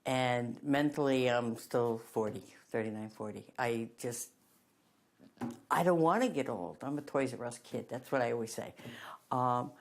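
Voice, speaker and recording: A woman in her sixties reflecting candidly and wistfully on getting older in an interview. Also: a bass voice. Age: 60s. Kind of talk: personal reflection.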